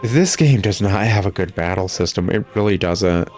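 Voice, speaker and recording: Guy w a lowish voice rambling sarcastic critiques over a video game, kinda fed up, music in the back. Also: normal speed, darkish tone. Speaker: gaming video host, critic.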